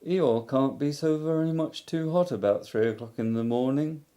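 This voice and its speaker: A man's voice: medium pitch, mellow, voice pushed up, natural voice. A man voicing a gloomy, mournful old donkey. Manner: slow, mournful, self-pitying. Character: gloomy old male donkey, melancholy and grumbling.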